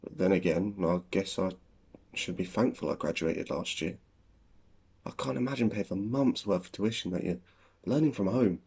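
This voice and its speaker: Actor as deep, gravelly young British graduate. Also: male voice, lively intonation, moderate pace, a little mellow, exaggerated voice. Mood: resigned, bitter. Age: 20s. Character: disillusioned young male graduate in his twenties, anxious. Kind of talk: spoken diary monologue in a short film.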